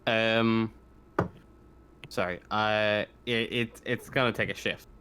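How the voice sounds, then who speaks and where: A man's voice: lowish voice, steady tone, slow, background noise. A man running a casual tabletop game as game master, with a joking, profane way of talking.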